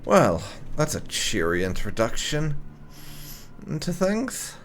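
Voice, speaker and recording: A man's voice: a little high, huge pitch swings, slow, slight background noise. A man doing let's play commentary with light roleplay, in a dry, sarcastic manner. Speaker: gaming video host.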